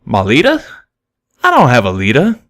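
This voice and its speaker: Man acting out a loud, incredulous young cartoon stallion. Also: mid-range voice, wildly swooping pitch, moderate pace, pitched above the performer's own voice. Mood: offended confusion.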